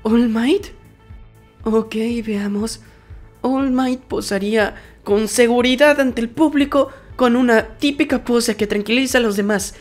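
Woman voicing an earnest, high-pitched nerdy anime boy. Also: measured pace, soft background music, lightly acted. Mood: pensive. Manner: hesitant, analytical. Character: earnest, nervous, overthinking teenage hero boy. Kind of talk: fandub comic acting.

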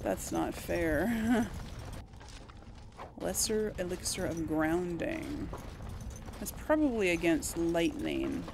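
A woman's voice: lowish voice, animated, very slow, vocal fry, long pauses, noisy background. A woman hosting a gaming video, giving casual commentary and role-playing along. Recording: game music under the voice. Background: game music.

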